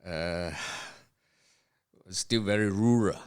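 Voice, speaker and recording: Older Singapore-born man, slow deep voice. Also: a little mellow, clear, resonant tone. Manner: slow, halting storytelling with many fillers and restarts. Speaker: podcast guest, host's father. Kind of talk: family history interview, reminiscing.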